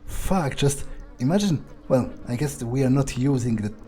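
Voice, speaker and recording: A man's voice: medium pitch, animated, normal speed, dark tone, breathy edge. A man playing a video game and commenting in character, thinking aloud with hesitant, dryly understated musing. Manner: hesitant musing, dry understatement, casual swearing. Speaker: video game player and host.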